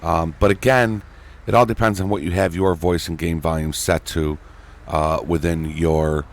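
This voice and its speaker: A man's voice: low-pitched, steady tone, moderate pace, slight background noise. A man hosting a casual gaming tutorial, talking in a folksy way straight to his viewers. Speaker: host, gaming tutorial creator. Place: indoors at a gaming computer, voice over screen recording.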